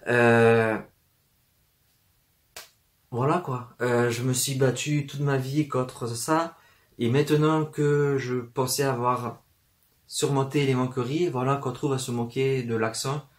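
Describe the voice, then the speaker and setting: A man's voice: mid-range voice, fairly even intonation, slow. A young man from the south of France with a southern French accent, talking to camera in a personal vlog, hesitant, with many fillers and restarts and an occasional stutter. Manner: stutters at times. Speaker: book vlogger. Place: room full of books, indoors.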